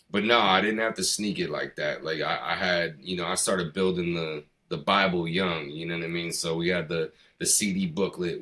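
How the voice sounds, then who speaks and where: Brief: middle-aged American man, hip hop artist, very low voice, slangy and loose interview talk. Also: a bit bright. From US East Coast. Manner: slangy, rambling, peppered with "you know" and "man". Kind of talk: casual conversation.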